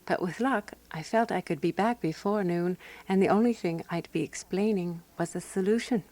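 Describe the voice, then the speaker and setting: An actress playing a composed, wry woman sleuth narrating a radio mystery in measured, scripted storytelling. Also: lowish voice, darkish tone, lightly acted.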